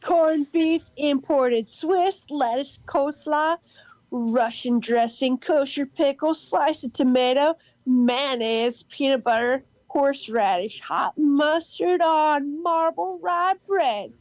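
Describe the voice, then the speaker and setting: An eccentric, proudly odd and cheerful woman in an amateur audio play, acted by a woman. Her voice is notably high and close, with no room sound. She steps haltingly through phrases, then bursts out emphatically. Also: darkish tone, no echo, low-quality recording. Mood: enthusiastic.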